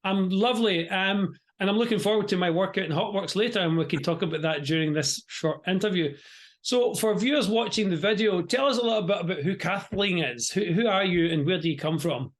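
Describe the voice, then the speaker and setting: A man's voice: high-pitched, fairly quick. A European-born man hosting a casual interview over a video call, chatty, often restarting and repeating his words. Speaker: interview host.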